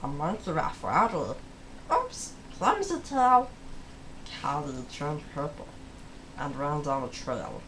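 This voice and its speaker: A person reading a story aloud for children as host, with a deliberate, halting delivery full of pauses. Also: medium pitch, expressive, noisy background.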